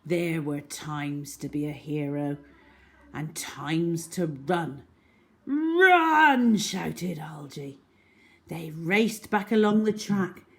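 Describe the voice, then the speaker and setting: A woman narrating a children's story in an expressive, scripted read-aloud style. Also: sits low, takes it slowly.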